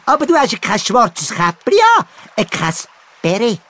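A woman voicing a cheerful, excitable little male bird in a children's audio play, speaking with a Swiss German accent and giggling often. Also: mid-range voice, moderate pace.

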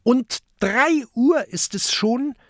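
A narrator voicing a small excitable female porcupine with a squeaky, wondering manner, in a storybook reading. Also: takes it slowly, no room sound, studio quality, heavily acted. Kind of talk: storybook character voice.

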